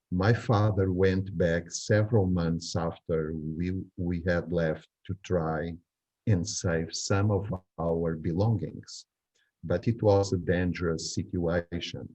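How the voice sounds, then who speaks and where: A man's voice: deep voice, mellow, dull audio. A man in his sixties from Angola, a native Portuguese speaker, reading his own memoir aloud. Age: 60s.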